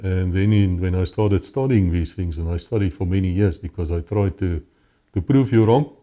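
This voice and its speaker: A man's voice: low voice, moderate pace, mellow. A man with a South African accent, telling his life story as an interview guest, earnest and often restarting his words. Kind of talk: personal testimony in conversation.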